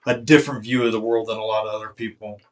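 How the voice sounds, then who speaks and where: A man in his fifties, a veteran guest in a casual interview, soft-spoken and hesitant, with many fillers and trailing sentences. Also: fairly low pitch, fairly even intonation, quick pace.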